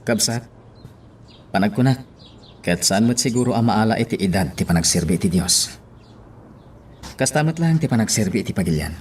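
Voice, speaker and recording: A man with a Filipino accent playing a calm, devout parish priest in a radio drama, earnest and measured. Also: lowish voice, a little hiss or hum, close to an everyday voice. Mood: calm, resolute. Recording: music bed under the voice.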